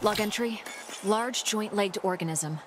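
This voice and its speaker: An actress playing an analytical woman scientist in a video game, dictating field observations in a clinical, measured, observant way. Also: mid-range voice, natural voice. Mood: clinical, studious. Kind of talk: video game voice acting, scientific log narration. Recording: clear background noise, game ambience.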